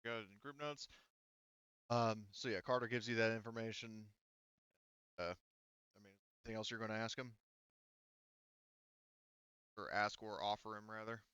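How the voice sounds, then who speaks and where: Guy game mastering, halting casual narration, keeps rewording, dry close sound but kinda muffled. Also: lowish voice, fairly even intonation, fairly bright tone, smooth, no room sound. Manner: halting, self-correcting.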